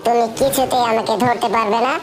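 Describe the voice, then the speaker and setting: Plucky cartoon mouse, voiced by a woman, slightly high. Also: steady tone, a little fast, natural voice. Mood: defiant. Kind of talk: cartoon fan dub. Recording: music under the voice.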